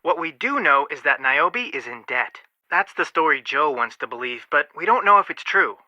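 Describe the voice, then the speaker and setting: An actor playing a cautious, level-headed, skeptical young detective in scripted video game dialogue. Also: male voice, on the high side, sing-song, normal speed, sharp tone, no echo, muffled recording, exaggerated voice.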